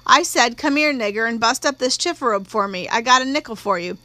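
Audiobook role: woman reader as scared, defensive country girl, nineteen, bright high voice, folksy grammar. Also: brisk, no room sound. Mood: agitated, defensive. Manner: folksy, ungrammatical country speech. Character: young rural girl of nineteen, fearful, tearful, stubbornly defensive. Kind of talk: acted audiobook dialogue.

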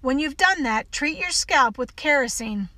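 A woman's voice: high voice, animated, unhurried, sharp tone, dry recording, heavily acted. A woman voicing a prim, squeamish schoolteacher in an audiobook story, proper and fussy in manner. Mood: prim, polite.

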